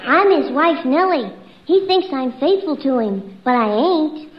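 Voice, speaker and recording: A brazen, scheming wife from a vintage radio comedy, her voice pitched high. She sounds lovestruck and frank about cheating. The old recording is muffled and very noisy. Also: lively intonation, measured pace. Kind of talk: 1950s radio comedy sketch acting.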